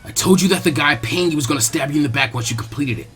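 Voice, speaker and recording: A man's voice: on the high side, fast, fairly bright tone, soft background music, pitched below the performer's own voice, natural voice. A man voicing a hot-headed, determined young ninja boy, blunt and defiant. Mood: cold anger.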